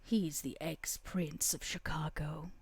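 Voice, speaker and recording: A scheming vampire power broker acted by a woman, speaking in a lowish, closely recorded voice. She is theatrical and deliberate, teasing her way through florid lines in a tabletop horror game. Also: fairly even intonation, slightly rough, no room sound, exaggerated voice. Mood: ominous, conspiratorial. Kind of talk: tabletop roleplay in character.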